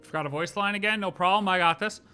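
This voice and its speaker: Bright-voiced, playful game streamer. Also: mid-range voice, fast, clear, resonant tone, soft background music. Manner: playful, informal interjections. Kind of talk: casual live reaction commentary.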